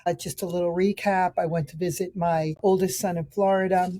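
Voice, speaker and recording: A raspy middle-aged woman speaks in a low, mellow voice. She chats in a loose, rambling way, full of fillers and restarts. She is recorded outdoors as the host of her own podcast. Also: steady tone, fairly quick, a little hiss or hum. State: raspy voice from a cold. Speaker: podcast host and nutrition practitioner. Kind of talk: casual solo podcast talk reviewing products.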